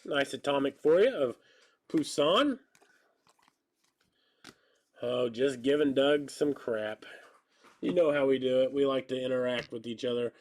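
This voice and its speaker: A man's voice: mid-range voice, unhurried, pauses often, a little mellow. Congested thirty-something man calling card pulls. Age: 30s. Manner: rambling, joking, calls out card pulls. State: stuffy nose, slightly hoarse. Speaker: card break host. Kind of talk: casual live-stream chat while opening cards.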